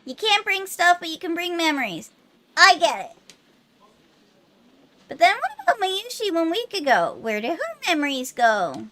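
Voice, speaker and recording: A woman's voice: extremely high pitch, big pitch swings, normal speed, long pauses, bright, forceful tone, voice pushed up, exaggerated voice. A woman voicing a naive, curious, childlike anime girl from a visual novel, with a cutesy, wondering manner. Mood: puzzled, curious. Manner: cutesy, wondering, speaks of herself in third person.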